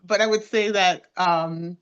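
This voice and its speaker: A woman in her forties from Canada, a guest telling a story in a casual chat, giggly and animated, often restarting her words. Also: lowish voice, measured pace, clear, resonant tone, highs cut off. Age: 40s.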